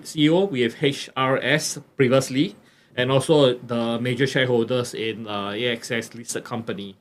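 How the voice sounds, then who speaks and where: A man hosting a podcast, introducing his guest haltingly with many restarts. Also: mid-range voice. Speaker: podcast host. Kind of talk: casual interview introduction.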